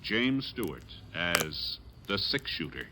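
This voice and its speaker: An American man delivering a scripted 1950s radio western introduction in a deliberate, dramatic, portentous manner. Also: sits low, very animated. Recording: old radio transcription, loud music. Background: loud music.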